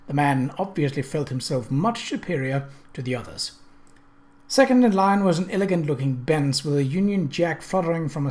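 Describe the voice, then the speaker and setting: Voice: mid-range voice, a little mellow. A narrator reading a novel aloud as scripted story narration. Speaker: audiobook narrator.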